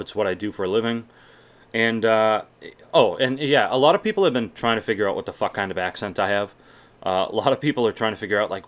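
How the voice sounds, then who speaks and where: A man's voice: lowish voice, fairly bright tone. A young man from Massachusetts with a mild Boston accent mixed with other American sounds, chatting casually and swearing often while answering viewer questions. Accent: mild Boston mixed with general American. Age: young adult. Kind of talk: casual Q&A vlog.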